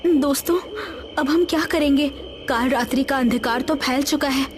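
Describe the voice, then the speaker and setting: A woman's voice: sits high, moderate pace, lightly acted. A woman voicing an earnest, wary young girl adventurer in a cartoon story. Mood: worried. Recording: music behind the voice. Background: background music.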